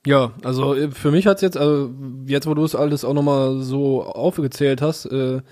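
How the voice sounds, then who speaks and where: A man's voice: mid-range voice, steady tone, moderate pace, a little mellow. A young man in casual chat, hesitant and searching for words. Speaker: podcast co-host.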